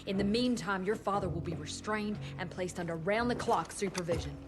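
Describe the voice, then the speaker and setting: An actress voicing a commanding female doctor who talks in a sharp, stern, argumentative way. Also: mid-range voice, brisk, music in the background, close to an everyday voice. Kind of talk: video game cutscene acting.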